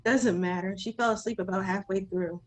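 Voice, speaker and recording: Actress as kindly female nanny, mid-pitched, gentle, tentative, eager to help, screenplay drama. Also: steady tone, fairly quick, natural voice. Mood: casual, kindly. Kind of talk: screenplay table read.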